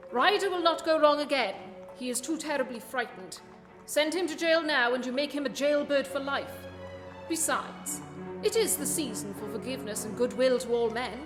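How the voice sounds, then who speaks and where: A woman playing a composed male Victorian detective in a radio play, speaking in a measured, formal, dryly witty way. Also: high voice. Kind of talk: scripted radio play acting. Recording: reverberant hall, faint music behind.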